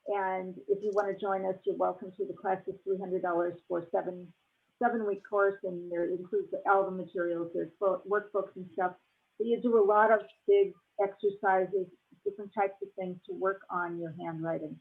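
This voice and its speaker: A woman's voice: mid-range voice, flat delivery, moderate pace. A woman on a panel, chatting casually over a video call, often restarting her sentences and laughing easily. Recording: tinny phone-like audio.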